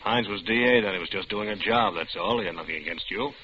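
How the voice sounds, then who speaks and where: Man doing a blunt old warden, lowish voice, muffled radio play sound. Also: fast, background noise, natural voice. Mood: gruff. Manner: blunt fatherly advice. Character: gruff older prison warden.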